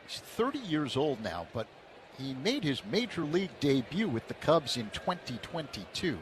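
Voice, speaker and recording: A man calling baseball play-by-play on the radio in a steady, measured way. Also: mid-range voice, slight background noise. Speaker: play-by-play announcer.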